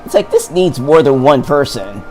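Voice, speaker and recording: A man's voice: mid-range voice, expressive, normal speed, darkish tone, faint music behind. A man chatting enthusiastically with a friend while playing a game, laughing a lot. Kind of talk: casual let's-play chat.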